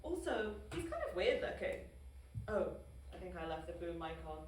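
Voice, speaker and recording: A woman playing a sardonic, unimpressed, haughty official in an animated comedy table read. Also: fairly low pitch, moderate pace. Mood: mocking. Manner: disdainful, theatrical. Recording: echoey room, distant microphone, faint noise.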